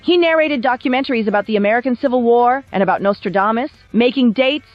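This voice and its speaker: A woman reading scripted documentary narration in a measured, literary storytelling manner. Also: a little high, no echo, dull audio. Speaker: narrator of video essay. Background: background music.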